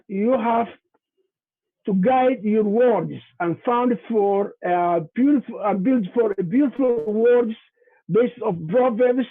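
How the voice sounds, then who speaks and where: A man with a Somali accent, a native Somali speaker, giving a halting presentation over a video call, repeating words and using many fillers. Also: very high voice. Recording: tinny phone-like video call audio.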